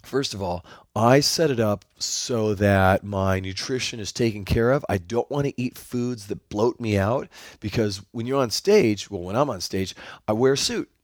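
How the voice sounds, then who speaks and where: A man hosting his own podcast, talking solo in an upbeat, enthusiastic coaching tone, his voice hoarse. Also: on the low side, animated, moderate pace, no echo. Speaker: podcast host.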